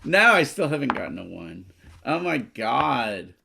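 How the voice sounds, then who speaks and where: A man with a creaky voice and wildly swinging pitch chats casually as a board game co-host. He is playful and exclamatory, recorded with clear background noise. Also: sing-song, measured pace. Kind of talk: casual chat.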